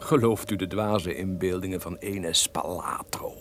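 An actor playing a gruff, surly henchman with a murderous secret, curt and cold in an old-fashioned radio drama. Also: low voice, fairly even intonation, unhurried, a bit bright. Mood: curt, dismissive. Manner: curt, cold, insolent, theatrical. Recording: noisy old radio play recording.

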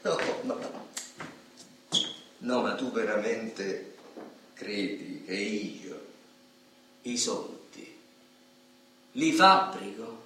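A man playing an educated professor who narrates in a radio drama, deliberate and literate, with halting phrasing. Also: fairly low pitch, sing-song, vocal fry, bright, forceful tone, recorded from a distance, heavily acted. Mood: exasperated, mocking. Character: educated male professor, narrator among Roman suburban folk. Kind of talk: stage-style radio drama monologue and dialogue. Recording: echoey hall from afar, hissy.

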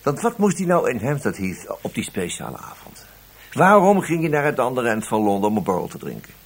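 An actor playing a dogged, stubborn investigator in a radio play, terse and insistent. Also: male voice, mid-range voice, very animated, fairly quick, slightly rough. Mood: insistent, suspicious. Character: stubborn, dogged male investigator arguing with his boss. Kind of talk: radio drama acting.

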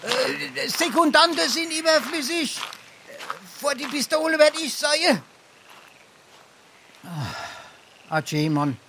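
An actor playing a pompous, wily down-at-heel braggart in a dialect stage comedy, with a Darmstadt Hessian accent and grandiloquent manner. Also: male voice, very high voice, expressive, slow pace, vocal fry, long pauses, pushed and bright, slight background noise, full character voice. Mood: blustering. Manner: grandiloquent, blustering. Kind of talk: theatrical dialect comedy acting.

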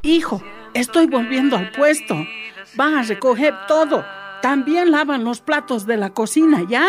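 A woman's voice: sits high, lively intonation, measured pace, over music. A woman playing a busy working mother giving instructions in a radio drama. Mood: brisk, instructing.

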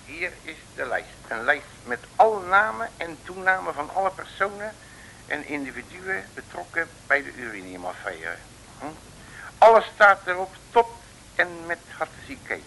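A folksy, boastful male detective in a vintage radio serial. His voice sits a little high, with a forceful edge and plenty of gravel, and the old recording hisses faintly. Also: sing-song, moderate pace, heavily acted, low-quality recording. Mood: boastful, cocky. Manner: colloquial, boastful, cheeky backtalk. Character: cocky, folksy male Dutch street detective.

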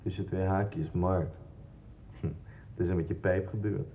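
A man's voice: deep voice, fairly even intonation, normal speed, warm tone, slight background noise. An actor playing a terse, cool male friend in a 1960s radio drama, speaking in clipped phrases. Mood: detached. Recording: dull 1960s radio recording.

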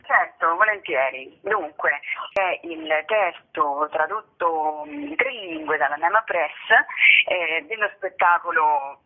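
A woman gives a radio interview by phone. Her voice is rough with creak and huskiness, squeezed by the thin line. She explains earnestly, pausing and searching for words as she goes. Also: lowish voice, very animated. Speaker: guest performing artist and author. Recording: narrow-band telephone line on radio.

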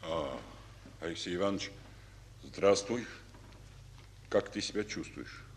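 In a long-reverb old radio recording, a fatherly, worn-out Soviet general speaks. His voice is low and husky, measured and confiding, with the weight of command. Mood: troubled, grave. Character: weary, fatherly male Soviet army general. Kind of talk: Soviet radio drama acting.

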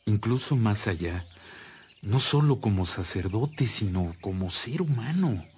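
An actor with a Mexican accent playing an earnest, reflective priest in a radio drama, speaking in a measured, solemn way. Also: male voice, on the low side, expressive, darkish tone, slight background noise. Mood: troubled, admiring. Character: earnest, reflective Mexican priest, calming his worried sister. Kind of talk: Spanish-language radio drama acting.

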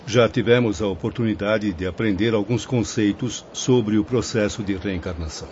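Male actor as earnest learner, polite, lowish voice, muffled recording with slight noise. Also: moderate pace, a little mellow, close to an everyday voice. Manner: formal, polite. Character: earnest, respectful male student spirit. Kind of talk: radio drama acting. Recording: dull, faintly noisy audio.

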